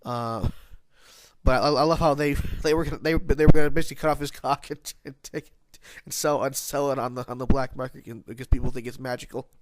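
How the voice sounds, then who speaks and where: A man's voice: mid-range voice, fairly even intonation, brisk, no room sound. Congested, nasal-voiced man reviewing an episode. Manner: rambling, many restarts and self-corrections. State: congested from hay fever. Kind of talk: casual spoken review.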